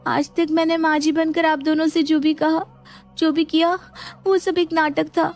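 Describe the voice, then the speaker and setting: A woman's voice: high voice, flat delivery, unhurried, a little mellow, faint music behind, pitched above the performer's own voice. A woman playing a put-upon young daughter-in-law, polite yet scheming, in an acted moral story. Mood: frightened.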